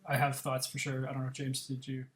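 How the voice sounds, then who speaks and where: A man's voice: medium pitch, completely flat delivery, fairly quick, crowd noise. A filmmaker on a podcast panel, a man reflecting aloud on film and often restarting his thoughts. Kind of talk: casual film discussion.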